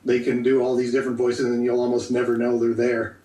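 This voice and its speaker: Male voice actor as guest, relaxed and reflective, heard in a roomy space away from the mic. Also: medium pitch. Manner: easygoing, thoughtful, pauses to think. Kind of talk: casual podcast interview. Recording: echoey room, distant from the mic.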